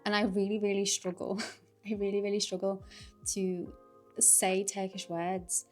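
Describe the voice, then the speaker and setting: A young woman from Liverpool with a Liverpool accent, chatting casually to camera in a relaxed vlog, with lots of fillers and laughter. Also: mid-range voice, unhurried, faint music behind. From Liverpool, England. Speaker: vlogger.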